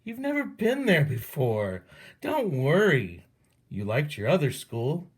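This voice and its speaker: A man's voice: a little high, sing-song, takes it slowly, husky, heavily acted. A man voicing a patient, coaxing, mildly exasperated man in a picture book read-aloud. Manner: coaxing, reassuring.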